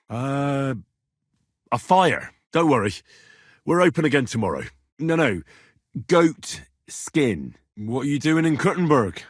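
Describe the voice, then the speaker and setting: Casting: brash young medieval squire, husky, lively intonation, blunt and quick-tempered game hero, dry close sound. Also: male voice, medium pitch, no echo. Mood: conversational. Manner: blunt, colloquial, assertive. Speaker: video game protagonist. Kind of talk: video game dialogue acting.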